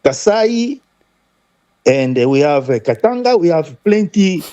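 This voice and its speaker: A man from DR Congo with a Congolese accent, talking football as a guest caller in halting, repetitive, emphatic English that is not his first language. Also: a little high, sing-song, dark tone, clean tone, dry recording, muffled recording. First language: French. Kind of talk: animated football talk.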